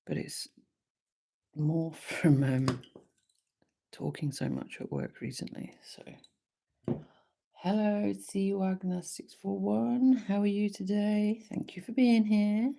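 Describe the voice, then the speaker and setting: A woman with a slightly croaky voice from a sore throat, hosting a relaxed live wire-wrapping tutorial from her workshop. She chats easily and thinks aloud with frequent ums. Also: medium pitch, slow pace, pauses often.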